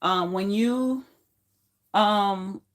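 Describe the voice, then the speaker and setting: A woman's voice: mid-range voice, drawn-out words. A woman around thirty from Haiti, a non-native English speaker who learned it as a teenager, tells personal stories to a live stream in an informal, emphatic way, repeating phrases. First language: not English, learned it as a teenager. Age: late 20s to early 30s. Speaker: livestream host.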